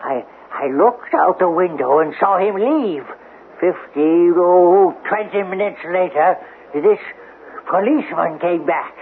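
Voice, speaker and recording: A man's voice: high-pitched, slow pace. An actor playing a suspicious, eccentric, nervous male neighbour who talks evasively and repeats himself in a radio drama. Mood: agitated, secretive. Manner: evasive, repetitive, dramatic storytelling. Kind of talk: 1970s radio drama acting. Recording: tinny band-limited old radio recording, hiss.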